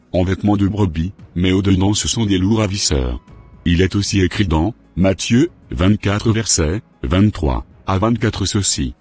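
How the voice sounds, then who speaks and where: Deep, husky solemn sermon voice. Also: male voice, darkish tone. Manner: solemn scripture reading. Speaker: narrator. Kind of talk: scripted sermon narration.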